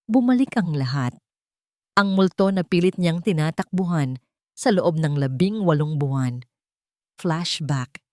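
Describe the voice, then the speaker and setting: A woman reads a dramatic story as an audiobook narrator. Her pitch is on the low side and the recording is very dry. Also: a little mellow, no echo.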